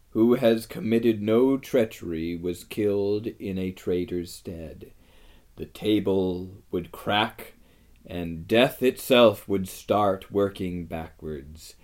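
A narrator voicing a slow, deep, majestic great lion. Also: a little mellow. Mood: solemn, majestic. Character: great majestic male lion, wise, solemn and gentle.

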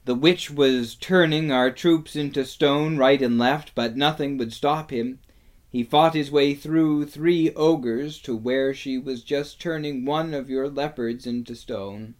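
A man's voice: mid-range voice, slow pace, pitched above the performer's own voice, close to an everyday voice. A man voicing an earnest, stern young boy warrior in a storybook, speaking emphatically. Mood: earnest, urgent.

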